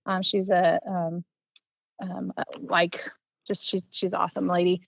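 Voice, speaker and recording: A woman's voice: on the low side, measured pace, vocal fry, no room sound, muffled recording. Chatty Southern woman telling hunting stories. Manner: chatty, animated, acts out quoted speech, many ums. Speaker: guest, competitive archer and teacher. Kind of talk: casual storytelling in an interview.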